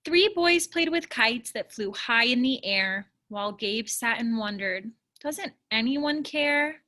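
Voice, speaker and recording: A woman reads a rhyming children's story with expression. Her voice is fairly high and bright, and she takes her time over each line. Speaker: story reader. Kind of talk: children's storybook read-aloud, rhyming scripted narration.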